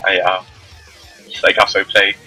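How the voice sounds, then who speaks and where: A man's voice: low voice, completely flat delivery, measured pace, pushed and bright. A man with a Hong Kong accent bantering casually on a live stream, dropping English words into his talk. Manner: mixes English words into speech. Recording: music behind the voice.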